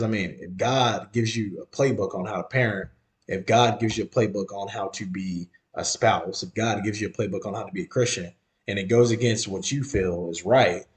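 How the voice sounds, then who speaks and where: Man in his thirties, emphatic casual podcaster. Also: lowish voice. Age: 30s. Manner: conversational, emphatic and repetitive, slangy. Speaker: podcast host. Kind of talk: casual monologue.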